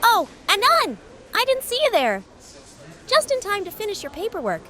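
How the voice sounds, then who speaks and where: A woman's voice: very high-pitched, huge pitch swings, normal speed, sharp tone, exaggerated voice. A woman voicing a prim, officious teenage girl dinosaur with an over-sweet manner in a visual novel game. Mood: sugary, eager. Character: prim, chirpy, exasperated teenage girl raptor office helper.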